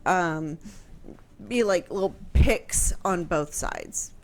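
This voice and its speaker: A woman's voice: on the low side, slow pace. A woman explaining history in casual conversation on a radio show, piecing her sentences together hesitantly. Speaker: history show host answering questions.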